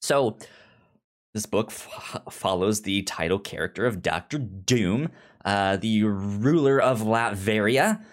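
Halting, stammering podcast host chatting casually. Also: mid-range voice, expressive. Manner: halting, stammering with repeated syllables. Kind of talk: casual book review chat.

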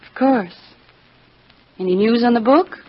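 A woman's voice: a little high, measured pace, close to an everyday voice. An actress playing a blunt, scolding, nosy woman friend in an old-time radio drama. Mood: knowing, suspicious. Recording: muffled old radio recording.

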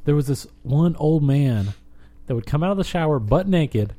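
Male co-host, fairly high pitch; informal, sweary storyteller in casual chat. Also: lively intonation, normal speed, mellow. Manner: informal, profane, peppers speech with "like". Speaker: podcast co-host.

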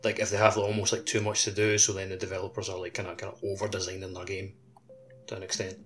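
A man's voice: deep voice, monotone, quick pace, a bit bright. A man co-hosting a gaming podcast, talking casually in an informal, hedging way. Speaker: podcast co-host. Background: background music.

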